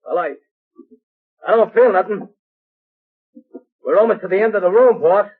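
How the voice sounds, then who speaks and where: A man playing a dim-witted thug henchman in a 1930s radio drama, talking in a gruff, ungrammatical tough-guy way on a tinny broadcast recording. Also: sits high, moderate pace.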